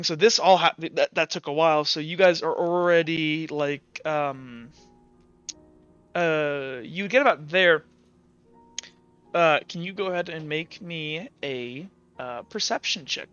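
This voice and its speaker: A man running a tabletop roleplay as game master, narrating in a halting, improvised, descriptive way. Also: on the high side, a bit bright, smooth, highs cut off, soft background music.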